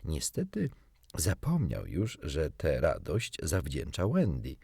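Deep guy narrating a kids audiobook, super expressive, dry studio-like sound. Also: takes it slowly, vocal fry, breathy edge, no echo. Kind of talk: expressive scripted storybook narration.

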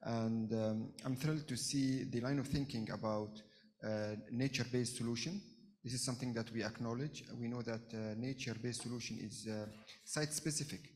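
A man from Jordan making an earnest, halting comment from the floor at a conference, with many pauses and fillers. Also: mid-range voice, fairly even intonation, darkish tone. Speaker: audience member asking a question. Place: conference hall.

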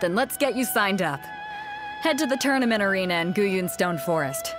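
A woman's voice: moderate pace, natural voice. An actress voicing a bold, confident female sea captain who organizes a fighting tournament and teases her companions, in a game cutscene with music behind her. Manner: booming announcer calls to a crowd. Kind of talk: video game voice acting.

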